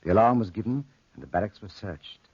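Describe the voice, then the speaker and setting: A man narrating a 1940s radio drama in a measured, dramatic storytelling style. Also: fairly low pitch, a little mellow, slightly rough, dry recording. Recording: muffled, dry 1940s radio recording.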